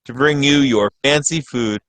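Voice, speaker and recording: A man playing a radio announcer, delivering a parody commercial as a polished, deadpan sales pitch. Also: a little slow, no room sound. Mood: earnest selling. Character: smooth-selling announcer of a parody cafe ad.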